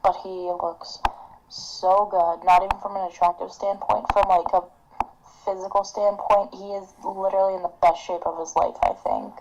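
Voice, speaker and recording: A woman's voice: medium pitch, level tone, normal speed, creaky, a little mellow, muffled recording, slight background noise. A young woman co-hosting a casual wrestling prediction chat, blunt and opinionated and swearing freely. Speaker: podcast co-host.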